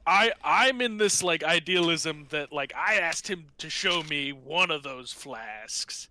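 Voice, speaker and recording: A man chatting casually as a player in a tabletop roleplaying game, in a halting, rambling way. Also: fairly high pitch, animated, bright, forceful tone, slight background noise.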